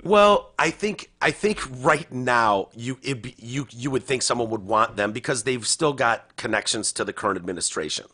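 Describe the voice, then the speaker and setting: A man's voice: mid-range voice, expressive, moderate pace, few pauses, sharp tone. A man co-hosting a podcast, chatting casually about politics, swearing freely and slipping into mocking impressions. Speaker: podcast co-host.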